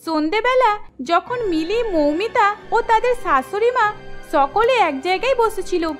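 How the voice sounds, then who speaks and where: Woman storyteller, very high voice, lively, faint music behind. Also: unhurried. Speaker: narrator and voice actor. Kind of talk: cartoon story narration.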